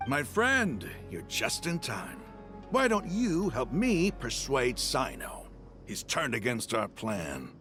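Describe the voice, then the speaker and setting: An earnest desert mercenary, mid-pitched with a very animated delivery. He argues persuasively and with passion in anime game style, music playing behind him. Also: slow pace, full character voice. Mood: persuading. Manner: earnest, pleading, expressive.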